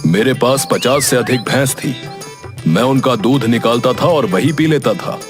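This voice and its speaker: A man voicing a slow-witted but kindly village wrestler with a simple, plain-spoken manner in an animated folk tale. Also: medium pitch, lightly acted. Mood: naive. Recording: music behind the voice.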